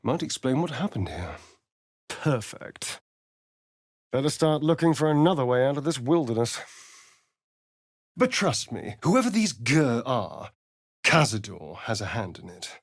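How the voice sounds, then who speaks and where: A man's voice: medium pitch, very animated, a little slow, long pauses, no echo, studio quality. An actor playing a suave, sardonic male vampire spawn in a video game, with an arch, drawling, theatrical manner. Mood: wry, dramatic. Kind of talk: video game character voice lines.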